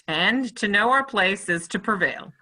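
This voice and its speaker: A woman's voice: mid-range voice, expressive, unhurried, pushed and bright, low-quality recording. A woman playing a loyal, upright medieval French soldier in a play reading, with emphatic, archaic formal diction. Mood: dry resolve. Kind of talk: stage play table read.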